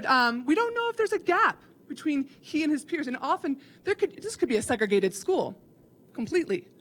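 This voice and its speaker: A woman's voice: fairly high pitch, fairly bright tone. Earnest woman giving a keynote. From Chicago, USA. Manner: earnest, emphatic, instructive. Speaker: keynote speaker to educators. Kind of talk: keynote talk. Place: conference hall.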